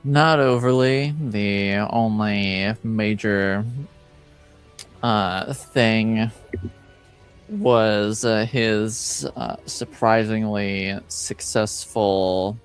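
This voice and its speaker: A man's voice: fairly low pitch, steady tone, drawn-out words, clear, resonant tone. A man playing a shady, worldly tavern informant in a tabletop game, talking in a dry, blunt, knowing way. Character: shady gold-toothed male tavern informant, wry, worldly. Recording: music behind the voice.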